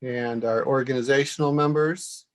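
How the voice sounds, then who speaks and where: A man hosting a webinar, presenting in a measured, somewhat halting way with pauses. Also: mid-range voice, steady tone. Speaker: host and moderator, association director. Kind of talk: webinar presentation, semi-scripted.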